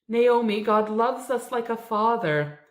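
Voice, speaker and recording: A soft-spoken old minister, gentle and comforting in the face of despair, played by a woman reading a story. The room adds a noticeable echo. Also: a little high, lively intonation, a little slow, voice pushed up. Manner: gentle, soothing. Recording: echoey room.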